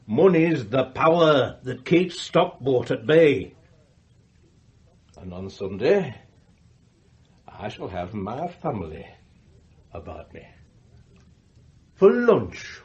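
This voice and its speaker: An actor playing a pompous, wealthy family patriarch in a radio drama, declaiming deliberately about himself. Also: male voice, mid-range voice, sing-song, darkish tone, dull audio. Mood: self-satisfied musing. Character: anxious, self-satisfied wealthy magnate and family patriarch.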